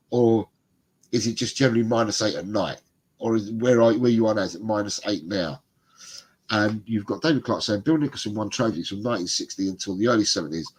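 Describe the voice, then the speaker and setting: A man's voice: on the low side, husky. A middle-aged man, congested, co-hosting a football fan livestream and reading out viewer comments in a chatty, rambling way. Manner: chatty, rambling, laughs often. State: congested, bunged up.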